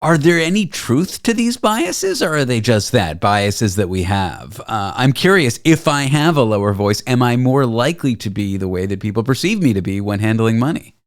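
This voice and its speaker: A man hosting a radio talk show, asking curious, chatty questions of his guest. He speaks at a middling pitch. The sound is dry and close, with no room echo. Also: big pitch swings, moderate pace, hardly any pauses. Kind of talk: radio interview and show links.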